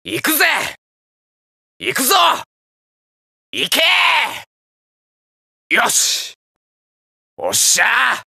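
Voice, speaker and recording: A hot-blooded young hero from a fighting game. His delivery is bright and forceful with lively swings in pitch and a gravelly edge. He comes across as earnest, boyish and blunt. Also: drawn-out words, long pauses, no room sound, clean studio sound, exaggerated voice. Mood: fired up. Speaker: video game character voice actor. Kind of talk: shouted battle lines and game voice clips.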